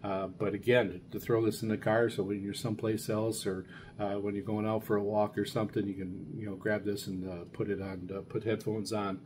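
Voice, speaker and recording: A middle-aged man with a fairly deep, soft-edged, dark voice talks through an informal review. He speaks hesitantly and wanders as he goes. A faint layer of noise sits behind him. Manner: halting, rambling, hesitant delivery. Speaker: radio hobbyist reviewer, show host. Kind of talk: casual unscripted product review. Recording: clear steady background noise.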